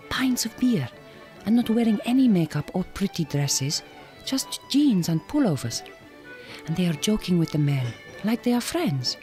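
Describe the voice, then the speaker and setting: A woman's voice: lowish voice, darkish tone. An actress playing a proud, reserved middle-aged Hungarian woman in a radio drama, with a Hungarian accent and careful, formal phrasing. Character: glamorous, proud, reserved Hungarian migrant woman, grieving mother.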